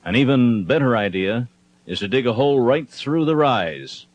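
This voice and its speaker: A man reading scripted narration for an instructional documentary film in a measured, instructive manner. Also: medium pitch, animated.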